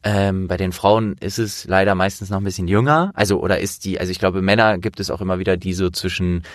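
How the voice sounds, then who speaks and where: A man hosts a casual interview. He talks in a chatty, animated way, and the sound is dry and close. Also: sits low, fast, no room sound. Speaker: interviewer and host, trained actor.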